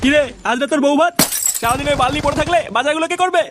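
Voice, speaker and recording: A man voicing a bad-tempered, foul-mouthed father in a comedy cartoon, nagging and threatening in a pitched-up voice. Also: racing, background noise. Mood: scolding.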